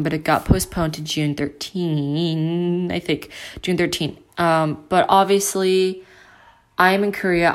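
An eighteen-year-old woman hosting her own casual podcast from home, with a deep, loud voice, rambling with lots of fillers and restarts. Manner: rambling, filler-heavy, self-interrupting. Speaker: podcast host. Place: at home with family.

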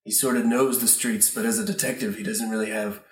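A man narrating an improvised radio play in a rambling, halting way, often trailing off. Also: lowish voice, steady tone, recorded from a distance. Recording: echoey room, off the mic.

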